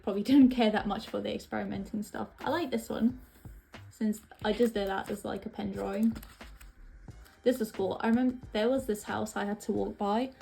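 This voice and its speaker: Young woman from Latvia, gravelly and offhand. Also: mid-range voice, warm tone, a little hiss or hum, rough recording. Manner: rambling, hesitant, offhand. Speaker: art vlogger. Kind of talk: casual narration while flipping through sketchbooks.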